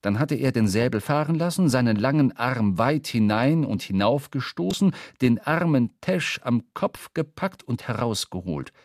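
Male radio drama narrator, mid pitch, dramatic literary storytelling, very dry studio sound. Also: expressive, moderate pace, few pauses, a little mellow, no echo.

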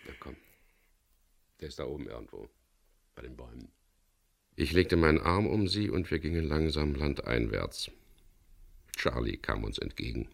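A man's voice: a bass voice, vocal fry, heavily acted. An actor playing a tough, wry boat captain who narrates his own adventure tersely in a 1970s German radio crime drama. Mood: tender, subdued.

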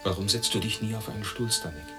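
An actor in a radio drama playing a weary, yearning East German emigrant, reflective and intense. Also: male voice, on the low side, fairly even intonation, fast talker, over music, close to an everyday voice. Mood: probing. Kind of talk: radio drama monologue and dialogue.

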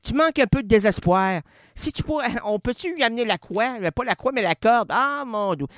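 Québécois male radio host, lively rambling comic patter, dry studio sound. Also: very high voice, a little fast, no room sound. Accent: Québécois French. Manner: playful, rambling, swings between loud and quiet.

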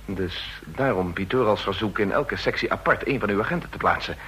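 An earnest, worried male official from a vintage radio play who has come for help. A man acts him out with a low, bright, forceful voice and a faint hiss in the background. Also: a little fast, natural voice, rough recording. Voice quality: earnest, anxious. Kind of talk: vintage radio drama acting.

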